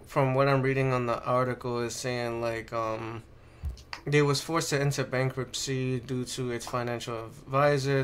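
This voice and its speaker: A man's voice: medium pitch, fairly bright tone, clear, resonant tone. A man co-hosting a casual gaming podcast, explaining things in an informal, sweary way. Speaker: podcast co-host.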